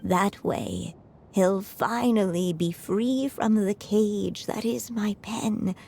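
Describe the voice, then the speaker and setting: A woman voicing a gentle, wise mother who is a writer, speaking softly and at times half-whispering. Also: medium pitch, vocal fry, a little hiss or hum. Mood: tender. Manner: soft, unhurried, often drifting into a whisper. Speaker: storyteller mother. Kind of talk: video game voice acting.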